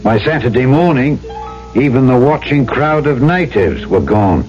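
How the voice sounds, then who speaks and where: An actor playing an uneasy, stubborn island trader who narrates and questions dramatically in an old-time radio drama. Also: male voice, medium pitch, animated, measured pace, a little mellow, natural voice. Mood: brooding. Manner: dramatic first-person storytelling and pointed questioning. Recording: muffled old radio broadcast with music.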